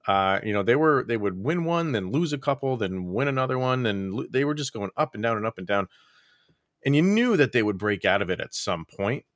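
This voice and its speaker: A man guesting on a sports podcast, chatting in a lively way and laughing easily. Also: mid-range voice, brisk, no echo, clean studio sound. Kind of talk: casual sports chat.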